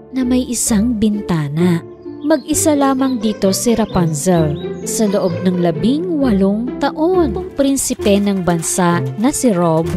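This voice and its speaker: Lively woman storyteller over music. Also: medium pitch. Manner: expressive storytelling. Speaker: storyteller, narrator. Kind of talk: scripted children's fairy tale narration.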